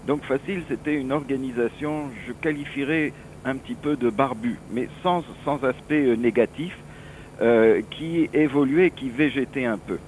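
A mature man with a French accent from France speaks as an interview guest over the telephone, with faint noise on the line. His explanations are halting and digressive, in a mid-pitched voice. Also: a little mellow, rough recording. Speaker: association president, interview guest. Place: office, on the phone.

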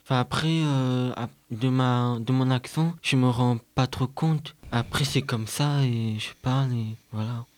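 Guy on a radio chat, flat voice, dry sound, lots of ums and pauses. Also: mid-range voice, dry recording. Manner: halting, with many fillers and pauses. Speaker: guest. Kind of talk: radio discussion.

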